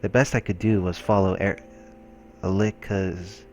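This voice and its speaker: Low-voiced man reading a game aloud. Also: level tone, measured pace, a little mellow, a little hiss or hum. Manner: informal, stumbles while reading, exclaims. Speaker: let's play host reading a visual novel aloud. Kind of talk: casual commentary mixed with reading game narration aloud. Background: background music from the game.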